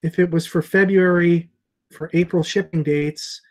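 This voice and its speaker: A middle-aged man hosting a casual video call chat as a comic shop owner, thinking aloud with many pauses and fillers. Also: high voice, steady tone, darkish tone. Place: small room with shelves of comics behind. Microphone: earbud headset on a video call.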